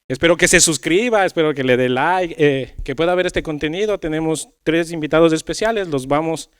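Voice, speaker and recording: A man's voice: a little high, a little fast, fairly bright tone, clear, resonant tone. A man hosting a church youth podcast in a conversational style. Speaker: podcast host.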